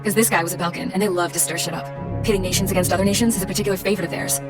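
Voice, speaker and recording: An actress playing a tough, street-smart military woman in a game, talking in a blunt, casual, no-nonsense way over loud music. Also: fairly low pitch, steady tone, very fast, far from the mic, lightly acted. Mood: wry, matter-of-fact. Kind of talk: scripted storytelling narration.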